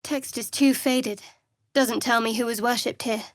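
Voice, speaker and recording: Guarded, sardonic young adventurer, dry-miked. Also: female voice, fairly high pitch, steady tone, a little slow, no room sound. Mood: wary, curious. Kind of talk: video game character voice acting.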